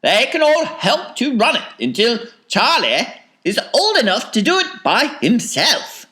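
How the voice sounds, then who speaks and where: A woman voicing an eccentric, excitable old male candy maker in a storybook reading, talking in bright, animated exclamations. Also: a little high, measured pace, heavily acted. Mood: excited. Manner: exclamatory, bubbling with enthusiasm. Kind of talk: storybook read-aloud.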